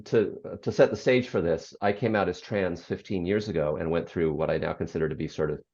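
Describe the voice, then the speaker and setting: An older speaker with a very low, gravelly bass voice answers interview questions at an easy pace, circling back with ums and restarts. The audio sounds a little dull and lo-fi. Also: brisk, darkish tone. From United States. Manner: reflective, frequent ums and restarts. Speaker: guest, author and former radio program director. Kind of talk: interview conversation.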